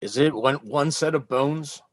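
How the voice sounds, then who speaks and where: A man's voice: medium pitch, measured pace, no echo, clean studio sound. A man playing a tabletop role-playing game, casually stating what his character will do.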